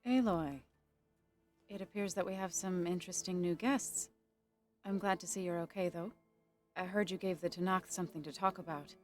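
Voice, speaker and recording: A woman acts as a calm, friendly female companion in a video game. Her voice is on the low side, and she talks in measured, articulate phrases with a gentle wryness, over faint music. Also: natural voice. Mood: friendly, teasing. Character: composed, friendly female ally, gently wry. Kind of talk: naturalistic game acting.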